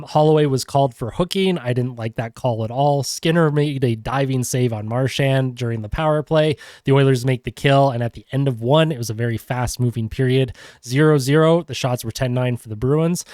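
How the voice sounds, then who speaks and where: A man with a congested cold, hosting a podcast and running quickly through a post-game hockey recap with frequent fillers. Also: mid-range voice, darkish tone, no room sound, studio quality. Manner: quick play-by-play rundown with fillers. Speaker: podcast host.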